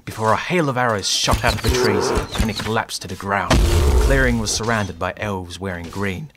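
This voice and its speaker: An actor playing an adventurer's companion who narrates a fantasy audio drama in scripted storytelling style. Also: male voice, on the low side, moderate pace, very noisy, lightly acted. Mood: dramatic.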